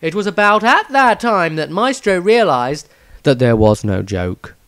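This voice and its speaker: A man's voice: fairly high pitch, very animated, a little slow, fairly bright tone, clean tone. A man reading a scripted fantasy story aloud as narrator, in a dramatic storytelling manner. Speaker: author reading own story.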